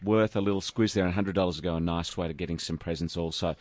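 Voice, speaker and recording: A man with an Australian accent and a croaky voice, hosting a chatty radio health show and joking with his co-hosts. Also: sits low, fairly even intonation, fast, darkish tone, no echo. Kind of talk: casual chat. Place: radio studio.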